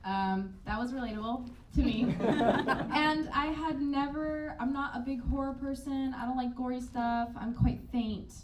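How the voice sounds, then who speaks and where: A woman actor answering at a panel Q&A, lively and casual, laughing often. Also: high voice, a little slow. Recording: echoey, distant, faint noise.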